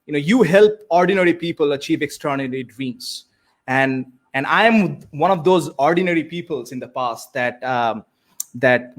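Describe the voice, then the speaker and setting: Indian coach, male, Bengali native speaker, earnest storyteller, filler-heavy, slightly raised pitch. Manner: earnest, many fillers and repeated starts. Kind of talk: conversational storytelling.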